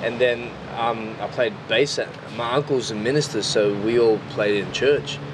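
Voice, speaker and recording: A man's voice: mid-range voice, fairly even intonation, moderate pace, noisy background. A man in his early thirties with an Australian accent, chatting casually and in a rambling way as an interview guest. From Newcastle, Australia. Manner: informal, rambling, frequent "like" and "you know".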